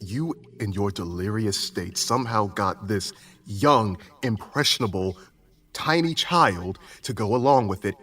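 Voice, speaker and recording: An irritable older man acted by a man, scolding like a fed-up father in a tabletop adventure. His bright, forceful voice swings widely with exasperated sarcasm, slightly off the mic over music. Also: lowish voice, animated, measured pace, recorded from a distance, voice pushed down. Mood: scolding, bitter.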